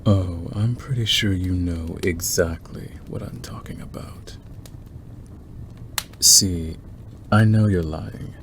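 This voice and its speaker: Man playing a deep, slow, obsessive werewolf suitor. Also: fairly even intonation. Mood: knowing, intense. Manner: slow, deliberate, softly menacing and teasing. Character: obsessive predatory male werewolf, wolfish, smitten stranger. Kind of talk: ASMR roleplay monologue to the listener. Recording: clearly noisy background ambience.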